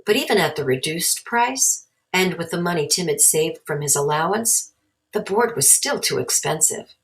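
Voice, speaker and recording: A woman's voice: on the low side, lively intonation, moderate pace. A woman narrating a children's story aloud as a storybook reader.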